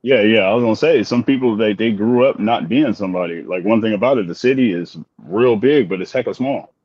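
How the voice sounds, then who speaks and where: Older dude talking fast, lowish voice, laughs a lot, kinda dull dry sound. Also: male voice, a little mellow, slightly rough, no echo. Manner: fast rambling with frequent laughs. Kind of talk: casual chat.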